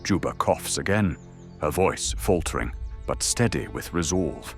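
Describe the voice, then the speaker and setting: A man's voice: extremely low pitch, lively intonation, a little slow. A man delivering dramatic scripted narration for an audio drama. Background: faint music.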